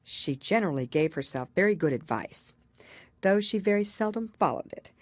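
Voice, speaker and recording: Low-voiced, expressive woman storyteller. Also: moderate pace, no room sound, studio quality. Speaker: audiobook reader. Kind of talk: scripted storybook narration.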